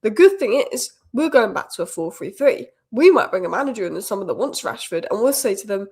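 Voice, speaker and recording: A woman rattles through football news at a brisk clip, her voice a little croaky from a cold. She gives strong fan opinions in quick, decisive bursts, at a middle pitch. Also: very animated, darkish tone. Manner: opinionated, rapid-fire fan commentary. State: has a cold, slightly croaky. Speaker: football channel host. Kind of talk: fast solo news roundup.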